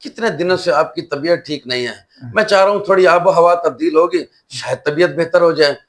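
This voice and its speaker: A man's voice: fairly high pitch, a little fast, lightly acted. A man playing a dutiful, anxious and deferential elder son in a moral short drama. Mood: hesitant, persuading. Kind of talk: Urdu moral short-film acting.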